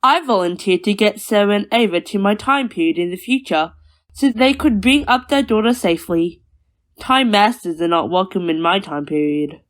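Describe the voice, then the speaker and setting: A woman's voice: mid-range voice, lively intonation, moderate pace, lightly acted. A woman playing a matter-of-fact time traveler from the future, narrating in an audio drama.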